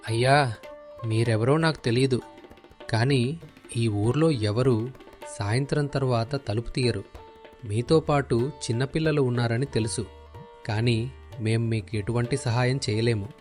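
A man playing a wary villager in an animated moral story, speaking in a guarded, firm, cautioning way. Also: medium pitch, unhurried, pauses often, natural voice. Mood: wary, apologetic refusal. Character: wary, unseen villager refusing help behind closed door. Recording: music behind the voice.

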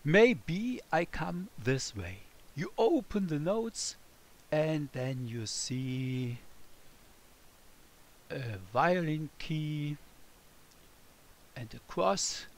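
Slow-paced German man with a gravelly voice. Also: fairly high pitch, animated. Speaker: music teacher. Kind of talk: scripted children's music lesson, speaking as a melody.

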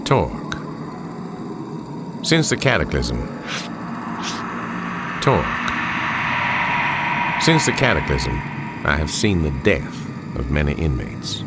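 An actor playing a grave chronicler of prison horrors, reading a dramatic narration in an ominous, measured, literary manner for a video game. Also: male voice, on the low side, very noisy, exaggerated voice.

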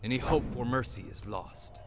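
An actor playing a proud, taunting, confident Shaolin warrior monk in a fighting game. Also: male voice, medium pitch, moderate pace, heavy background noise, close to an everyday voice. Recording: music behind the voice.

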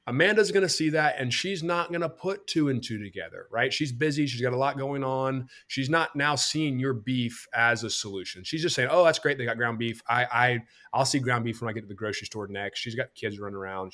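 A man's voice: medium pitch, fairly bright tone. A man in his thirties hosting a farm marketing podcast over a video call, explaining ideas step by step and acting out what customers think. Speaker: podcast host. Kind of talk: teaching podcast talk. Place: bright home room.

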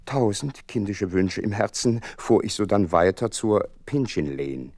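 An actor playing an earnest gentleman doctor who recounts a case in florid, wry storytelling in an audio drama. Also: lowish voice, big pitch swings, unhurried, dry recording, clean studio sound, exaggerated voice. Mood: florid narrating. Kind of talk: dramatised first-person narration, German audio drama.

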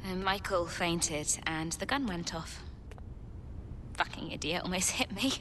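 An actress playing a bossy, guarded, frazzled woman in a dramatic game cutscene, talking in a sharp, exasperated way. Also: on the low side, animated, measured pace, slight background noise. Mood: guarded, weary. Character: bossy, frazzled, guarded woman actor sheltering in her home. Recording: long reverb, music underneath.

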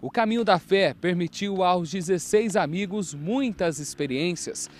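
A man's voice: sits high, a little slow. A young man with a Brazilian accent, a TV reporter narrating a scripted news feature.

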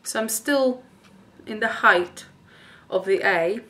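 A woman's voice: mid-range voice, slow, pushed and bright. A middle-aged woman, a native Italian speaker, thinking aloud informally and self-critically as she practises and teaches singing at home. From Italy. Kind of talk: thinking aloud while demonstrating vocal study. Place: living room at home.

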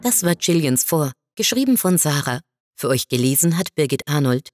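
A woman's voice: deep voice, very animated, normal speed, darkish tone. A woman reading scripted first-person narration as the reader of an audio short story. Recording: music behind the voice. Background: music.

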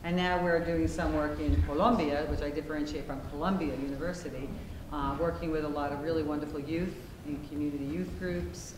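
A middle-aged woman speaking on a theater stage in a measured, explanatory way. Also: low-pitched. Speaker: panelist, conflict resolution practitioner. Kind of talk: onstage discussion. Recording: distant, echoey stage with noise.

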